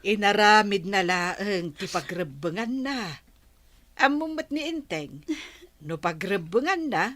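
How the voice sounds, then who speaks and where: A woman's voice: mid-range voice, lively intonation, a little slow, fairly bright tone. A woman acting a reassuring, dutiful village woman in a drama serial.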